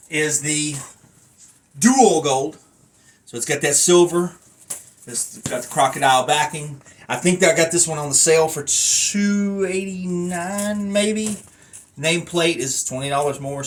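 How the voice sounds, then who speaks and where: A man's voice: mid-range voice, slight background noise. A man giving a casual, unscripted collector's review, thinking aloud and wandering off into asides. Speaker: collector reviewing a wrestling belt.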